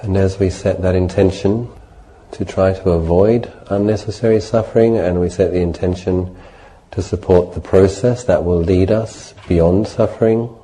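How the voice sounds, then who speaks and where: A man leading a guided meditation as a Buddhist teacher, speaking in a measured, gentle way with deliberate pauses between short phrases. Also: low voice, fairly even intonation, a little hiss or hum, rough recording.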